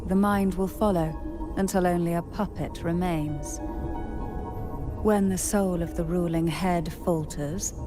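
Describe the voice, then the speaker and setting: An actress playing a composed, enigmatic noblewoman poisoner in a video game, speaking formally and with measured deliberation. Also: fairly low pitch. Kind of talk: scripted video game character acting. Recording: game music behind the voice. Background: game music.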